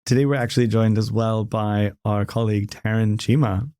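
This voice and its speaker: A man's voice: fairly low pitch, steady tone, normal speed, mellow, clear, resonant tone, no room sound, clean studio sound. A man hosting an interview podcast, asking questions in a friendly, conversational way. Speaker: podcast host.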